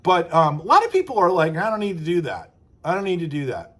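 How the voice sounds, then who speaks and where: Higher-pitched man giving casual advice. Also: very animated, quick pace, fairly bright tone. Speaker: screenwriting coach. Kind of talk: casual advice talk to camera.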